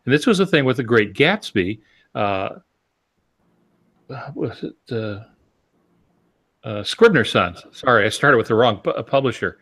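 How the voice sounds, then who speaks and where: A man's voice: medium pitch, lively intonation, unhurried, long pauses, fairly bright tone. A man in a casual discussion, explaining step by step and posing rhetorical questions as he goes. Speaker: podcast panelist.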